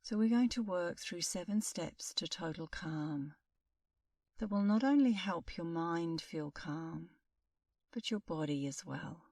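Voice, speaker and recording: A middle-aged woman guides a meditation in a calm, soothing manner. Her voice sits a little low and she speaks very slowly, leaving long pauses. The recording is very dry, with no room echo. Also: distant mic. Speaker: meditation instructor.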